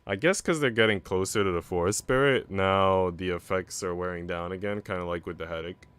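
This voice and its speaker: A man's voice: sits low, fairly quick, a bit bright, clear, resonant tone. A man reading a visual novel aloud as a streamer, narrating the story in the first person.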